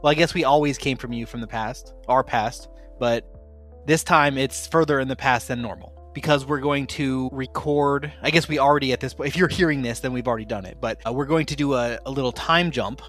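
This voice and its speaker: A man's voice: medium pitch, a little fast, a bit bright, soft background music. A man casually hosting and running an actual-play tabletop game podcast, with a playful, jokey manner. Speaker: podcast host and game master.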